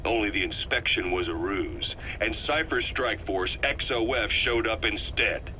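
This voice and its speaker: Forceful, bitter military interrogator. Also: male voice, on the low side, animated, slight background noise, poor audio. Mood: cold, accusing. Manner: stern, accusatory, deliberate emphasis. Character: hard, accusing male military interrogator, bitter and relentless.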